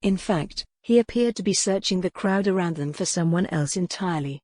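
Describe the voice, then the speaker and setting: Female audiobook narrator for historical romance, lower-pitched voice, dry close studio sound. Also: a little fast, a little mellow, dry recording.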